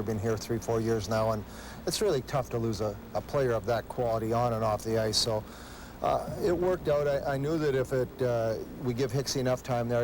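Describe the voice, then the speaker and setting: A man's voice: medium pitch, background noise. A man speaking as a hockey coach in an interview, conversational and matter-of-fact. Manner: plain-spoken, matter-of-fact. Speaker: ice hockey head coach.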